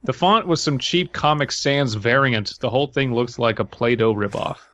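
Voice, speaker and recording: A man's voice: medium pitch, moderate pace, a bit bright. A man co-hosting a comedy podcast, reading a story and breaking in with wry, irreverent comments in casual banter. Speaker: podcast co-host.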